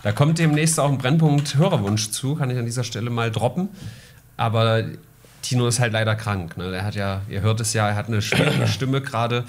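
A man's voice: medium pitch, brisk. A man hosting a casual podcast, chatting with a joking, ironic tone. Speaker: podcast host.

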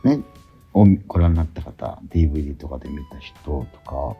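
A man's voice: extremely low pitch, lively intonation, creaky, slightly rough, lo-fi sound, faint music behind. A man hosting a radio show, chatting casually and searching for his words as he goes. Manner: halting, searching for words, repeating himself. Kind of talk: casual promotional chat about a stage play.

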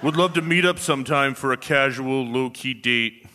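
A man performing a comic staged reading as an easygoing pet dog looking for casual romance, deadpan and casual. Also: mid-range voice, normal speed, noisy background, close to an everyday voice. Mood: casual, hopeful. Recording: live theatre hall with audience.

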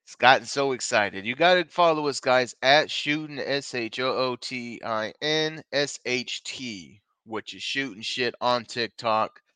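A man's voice: medium pitch, a little slow, hardly any pauses, bright, forceful tone, smooth, no room sound. A man co-hosting a casual live wrestling podcast from a bar, upbeat and plugging the show between topics. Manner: upbeat, plugging social media, teasing. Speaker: podcast co-host.

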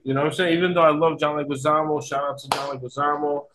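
A man's voice: a little high, steady tone, fast, background noise. A man in casual podcast banter, talking emphatically with slangy phrasing and repeating himself to make a point. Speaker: podcast guest. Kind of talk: casual banter about movies and games.

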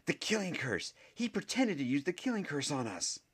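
A man's voice: on the high side, wildly swooping pitch, moderate pace, a bit bright, husky, pitched above the performer's own voice, full character voice. A man voicing a nervous schoolboy who blurts things out in a panicky way.

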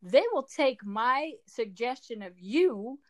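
A woman's voice: fairly high pitch, expressive, slow pace, fairly bright tone. A Dutch-born woman whose first language is Dutch and whose English sounds American, a podcast guest in casual conversation who talks emphatically and restarts phrases as she builds her point. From Netherlands.

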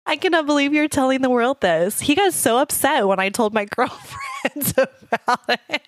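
A woman's voice: high voice, wildly swooping pitch, moderate pace, no echo, clean studio sound. Playful podcast co-host woman. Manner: playful, laughs often. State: slightly hoarse, voice gone deep. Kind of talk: casual banter on a wedding podcast.

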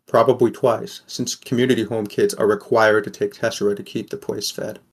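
A man's voice: fairly low pitch, little pitch movement, brisk, breathy edge. A man playing a wary, sardonic sixteen-year-old boy who narrates an audio drama in the first person. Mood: bleak, sardonic.

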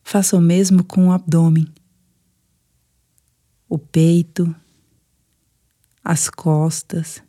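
Low, mellow Brazilian woman guiding meditation. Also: little pitch movement. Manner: soothing, unhurried, gentle. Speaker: guided meditation narrator. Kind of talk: guided sleep meditation, scripted narration.

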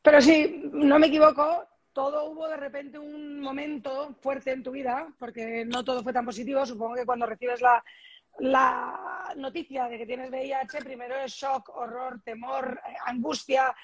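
A woman's voice: high voice, flat delivery, normal speed, rough recording. A woman interviewer who asks questions hesitantly, with many fillers. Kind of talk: interview conversation.

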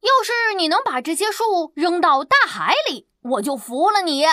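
A tiny, quick-witted little boy who teases and outsmarts a bear. The voice is very high, bright and bouncy, recorded dry and close. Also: lively intonation, no room sound, full character voice. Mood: taunting. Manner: cheeky, taunting. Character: small clever brave farm boy.

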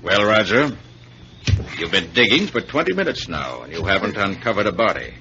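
A man's voice: on the low side, sing-song, moderate pace, sharp tone. An actor playing a haunted, suspicious rich man, brooding and accusing, in 1940s radio mystery style. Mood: grimly insistent. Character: haunted, suspicious wealthy man turning insistent. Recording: dull, hissy old radio recording.

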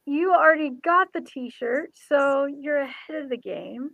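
A woman with a high voice hosts an informal chat. She talks in a chatty, loose way and lets thoughts trail off. The sound is close and dry, though a little dull. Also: a little slow, gravelly, a bit bright, dry recording. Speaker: show host; ordained minister, former pastor. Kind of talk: casual interview chat.

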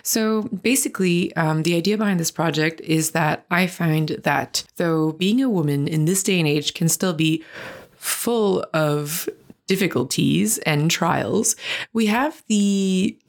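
Low gravelly woman with a Quebec accent. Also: a little slow. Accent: Quebec French. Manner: earnest, rambling with fillers. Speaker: podcast host. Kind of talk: solo podcast intro.

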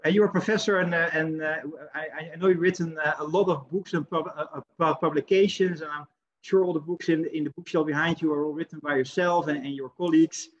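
A man's voice: on the high side, steady tone, fast. A man hosting an interview over a video call, hesitant, with many fillers and restarts. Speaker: interview host. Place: home in the Netherlands. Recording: dull lo-fi call audio.

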